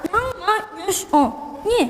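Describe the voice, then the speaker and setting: A woman's voice: very high-pitched, normal speed, background noise. A young woman with a Tibetan accent playing a fussy, welcoming mother in a school stage comedy, exclamatory and repetitive, in an echoey hall. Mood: flustered. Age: young adult. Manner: exclamatory, animated, repeats herself.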